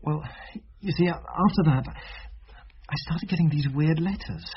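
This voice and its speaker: An actor with a British accent playing an anxious, reluctant teenage schoolboy in a radio drama, talking haltingly. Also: male voice, on the high side, natural voice. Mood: nervous, defensive. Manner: halting, nervous. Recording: muffled, noisy old radio recording.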